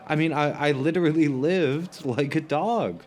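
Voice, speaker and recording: A man playing an anxious, self-deprecating dinner guest in an audio drama, talking in a halting, stammering, rambling way. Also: fairly high pitch, darkish tone, slight background noise, close to an everyday voice. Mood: self-pitying, wry. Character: anxious, self-deprecating New Yorker who loves comfort. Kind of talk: naturalistic audio drama dialogue.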